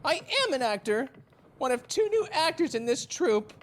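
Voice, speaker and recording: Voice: sits high, big pitch swings, normal speed. An actor playing an anxious, tired new recruit in an audio drama, hesitant and pleading in casual modern speech. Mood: alarmed. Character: anxious, exhausted young new actor posing as sailor.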